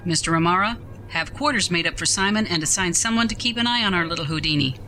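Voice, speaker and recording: A woman's voice: fairly low pitch, fast, pushed and bright, slight background noise. A woman playing an authoritative starship captain in a science fiction audio drama, with a commanding, formal manner. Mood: firm, concerned.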